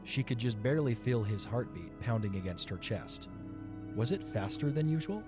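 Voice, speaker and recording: A man's voice: medium pitch, animated, normal speed, over music. A man narrating a scripted fantasy romance story as the narrator of an audio drama.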